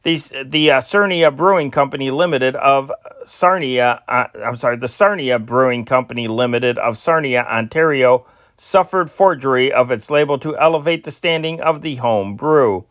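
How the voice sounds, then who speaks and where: A man's voice: mid-range voice, moderate pace, fairly bright tone, no echo, clean studio sound. A man reading old newspaper stories aloud as an amateur news reader, stumbling and correcting himself now and then.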